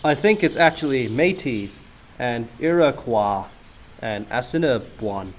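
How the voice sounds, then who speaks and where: Man playing a lively, dim sidekick. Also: mid-range voice, unhurried. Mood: hapless. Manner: hapless, says "eh" at sentence ends. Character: dim, earnest, good-natured Canadian fugitive sidekick. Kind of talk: comic radio play acting.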